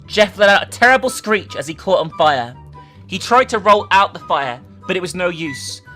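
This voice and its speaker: Woman doing dramatic story reading, really animated, bright punchy voice, bit of music behind. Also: on the low side. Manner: theatrical, emphatic, sweary in asides. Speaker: video host reading a story aloud. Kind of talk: dramatic reading with casual commentary.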